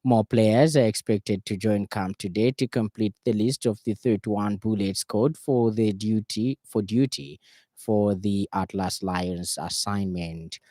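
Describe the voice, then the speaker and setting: A Zambian man reads the radio news from a studio. His voice is on the low side and notably mellow. He keeps a formal, measured delivery suited to a scripted bulletin. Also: no room sound.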